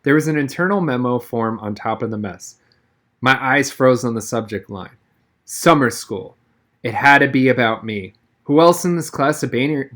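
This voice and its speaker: A man's voice: mid-range voice, fairly bright tone. A man reading a first-person novel aloud expressively, now and then stumbling and rereading a word. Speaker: audiobook reader.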